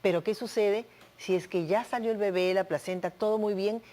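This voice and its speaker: A woman, an obstetrician guest on a TV health show, explaining medical care in a clear, methodical way and putting terms into plain words. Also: medium pitch, steady tone, normal speed, warm tone. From Peru.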